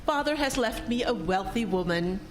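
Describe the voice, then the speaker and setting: Radio play role: haughty, devout rich spinster played by an actress, high-pitched, sweeping intonation, formal and fearless. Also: female voice, expressive, normal speed, slight background noise, lightly acted. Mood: prim, assured. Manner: formal, imperious, sanctimonious. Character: prim, wealthy, pious spinster, haughty and stubbornly fearless. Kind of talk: scripted radio drama acting.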